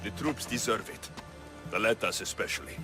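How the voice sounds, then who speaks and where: A man's voice: fairly low pitch, normal speed, vocal fry, over music. A man playing a confident, teasing Greek mercenary hero in a cinematic video game, with a wry, casual manner. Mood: assured, direct.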